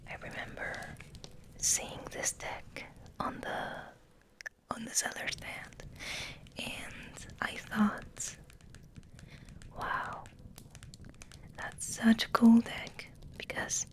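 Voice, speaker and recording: A woman giving a soft whispered ASMR ramble in an unhurried, halting way, gently repeating words, close to the microphone. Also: a little high, wildly swooping pitch. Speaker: host of an ASMR channel. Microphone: close microphone resting on the table.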